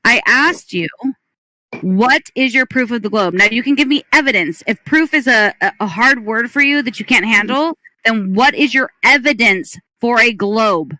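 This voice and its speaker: A woman's voice: fairly high pitch, animated, normal speed, bright, forceful tone, no room sound, low-quality recording. A woman hosting a live voice chat panel, firm and demanding as she presses a caller for evidence. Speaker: panel host. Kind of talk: heated live voice chat debate.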